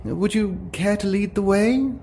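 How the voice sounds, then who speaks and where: Man playing an eager high-pitched adventuring actor. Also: measured pace, warm tone, slight background noise. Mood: bright, coaxing. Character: eager, fawning, nervous male actor turned adventurer.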